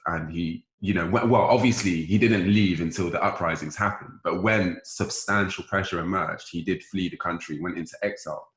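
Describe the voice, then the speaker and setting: Male discussion host, very low voice, halting and probing, casual, reverberant pickup. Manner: halting, probing questioner who rephrases as they go. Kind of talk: casual group discussion moderating. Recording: roomy, echoing voice pickup.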